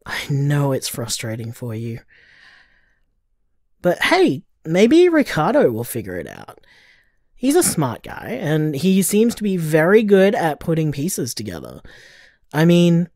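A woman playing a gentle, supportive partner in an audio drama, speaking tenderly and reassuringly. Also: sits low, no echo, studio quality, full character voice. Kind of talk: audio drama, intimate voicemail-like monologue.

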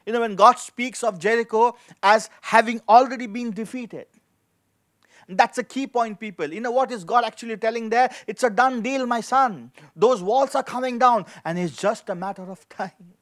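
A man's voice: very high-pitched, moderate pace, fairly bright tone. A middle-aged man preaching a sermon as a pastor, emphatic, repeating key phrases and asking rhetorical questions. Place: indoor studio with dark backdrop, at a lectern. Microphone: handheld microphone.

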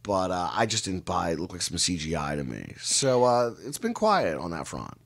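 A middle-aged man telling stories as an interview guest on a video call, measured and given to dramatic pauses. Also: low voice, big pitch swings, gravelly. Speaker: guest storyteller and researcher of mysteries. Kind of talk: conversational storytelling interview. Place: indoor room, video call.

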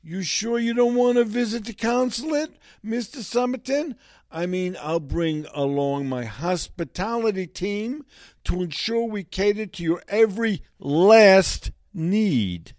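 A man's voice: high voice, a little mellow, no echo, studio quality. A man voicing a shifty Saudi public relations chief who talks like a New York mob guy, evasive and full of tough-guy slang. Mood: sly. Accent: New York Italian-American. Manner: evasive, tough-guy street talk, slow and drawling. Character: shifty male Saudi PR chief with a mobster manner. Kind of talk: comedy sketch.

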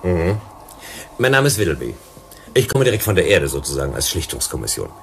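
Calm mediator from Earth for a radio play: deep, gravelly, politely probing. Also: male voice, slight background noise, exaggerated voice. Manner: measured, courteous, questioning. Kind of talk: German radio play acting.

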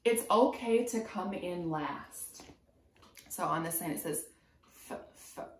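A woman in her twenties reads a picture book aloud as a preschool teacher, pausing to put simple, encouraging questions to young children. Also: on the low side, slight background noise. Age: 20s. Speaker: preschool teacher reading a storybook. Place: small room at home, indoors. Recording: roomy indoor echo.

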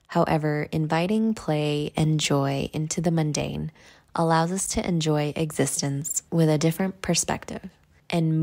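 Lady with a lowish voice doing guided meditation, measured, walking you through it step by step. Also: vocal fry, a little mellow.

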